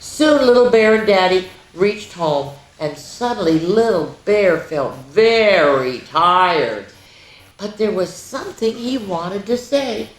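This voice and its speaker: A woman's voice: mid-range voice, animated, slow. A woman hosting children's story time, reading picture books expressively and talking directly to young listeners with casual asides. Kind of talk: picture-book read-aloud with casual asides. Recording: roomy echo, faint background noise.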